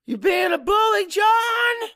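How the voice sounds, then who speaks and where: A woman's voice: very high-pitched, big pitch swings, slow pace, bright, forceful tone, no echo, clean studio sound, heavily acted. An actress playing a bitter, fed-up Cajun wife and mother in an audiobook drama, biting and sarcastic. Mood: defiant.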